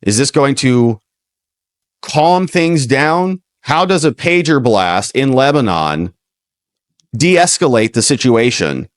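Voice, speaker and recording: A man's voice: medium pitch, animated, slow pace, dry recording, studio quality. An American man hosting political commentary, opinionated and given to rhetorical questions. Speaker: political commentator and show host. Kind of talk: livestream news commentary over played clips.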